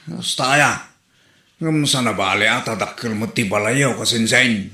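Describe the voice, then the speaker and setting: A man's voice: mid-range voice, measured pace, bright, forceful tone, echoey room. A man playing a blunt, hard-up village husband who grumbles in a comedy radio drama. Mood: needling.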